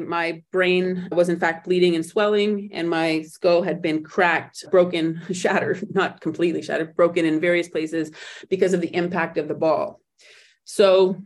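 A woman guest on an interview podcast, recounting her own story in a reflective way, often pausing and restarting as she searches for words. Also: lowish voice. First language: not German; speaks in English.

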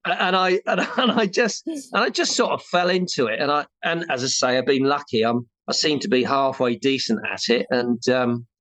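A man's voice: mid-range voice, normal speed, few pauses, fairly bright tone, no room sound. Chatty older Englishman, dry sound. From England. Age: 60s. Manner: chatty, rambling with frequent restarts and self-deprecating humour. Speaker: chartered building surveyor, guest. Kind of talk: casual interview talk.

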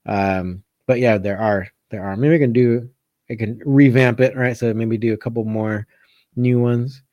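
Male coach for casual livestream Q&A. Warm, unforced voice, halting thinking-aloud delivery, dry acoustics. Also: mid-range voice, steady tone, no room sound, lo-fi sound. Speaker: catch wrestling coach hosting a live Q&A. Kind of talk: casual live chat answering viewer comments.

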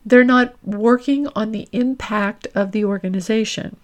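A woman career coach and host delivering an instructional monologue, laying out her points deliberately and with emphasis. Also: a little high, fairly even intonation.

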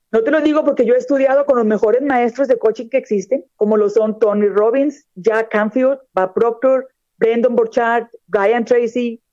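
Mexican woman radio host, middle-aged, encouraging coach, mellow tone, dry muffled recording. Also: a little high, dry recording. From northern Mexico. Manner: earnest, encouraging, addresses listener directly. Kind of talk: motivational talk on live radio show.

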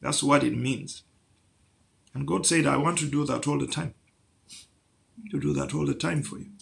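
A man's voice: medium pitch, steady tone, moderate pace, vocal fry. A man preaching a sermon, building through rhetorical questions to emphatic peaks.

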